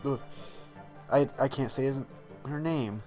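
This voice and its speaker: A man giving casual reaction commentary and reading aloud, halting and stumbling over words. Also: medium pitch, darkish tone, faint music behind. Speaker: reaction video host.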